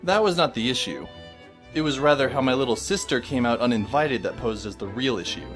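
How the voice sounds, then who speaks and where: A man's voice: medium pitch, lively intonation, brisk, fairly bright tone, natural voice. A man narrating as a wry, sarcastic teenage boy with a dry, sardonic manner, over background music. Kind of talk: fan audio drama narration.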